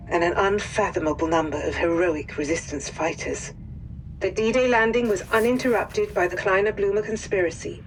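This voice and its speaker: A woman's voice: on the low side, a little hiss or hum, lightly acted, rough recording. A woman acting a formal military intelligence officer, narrating an official debriefing for a war game.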